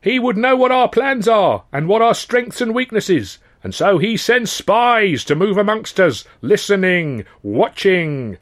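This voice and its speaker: A man voicing a fiery male union orator who declaims slowly and passionately to a crowd. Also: sits high, sing-song, pitched above the performer's own voice. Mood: impassioned. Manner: declaiming, slow and impassioned.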